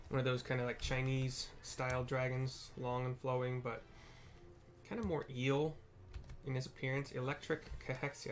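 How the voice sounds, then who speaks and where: Laid-back man giving casual gameplay commentary. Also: mid-range voice. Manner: laid-back, descriptive, informal. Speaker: gamer narrating a playthrough. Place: indoors at a computer.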